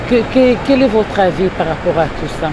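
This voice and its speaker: An interviewer asking passers-by questions at a train station, halting and often rephrasing the question. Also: mid-range voice, animated. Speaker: street interviewer. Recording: loud noisy street interview.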